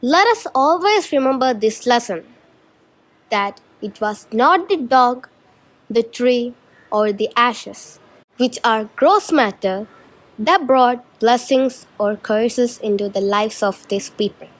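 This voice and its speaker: A woman's voice: on the high side, expressive, slow pace. A woman reading scripted storybook narration for a shadow puppet show. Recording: noisy amateur recording.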